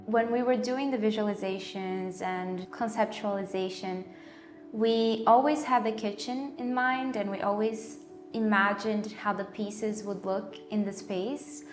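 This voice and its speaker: A young adult woman from Brazil, an architectural designer and artist, explaining her work calmly in a documentary interview. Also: mid-range voice, measured pace. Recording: roomy with music bed.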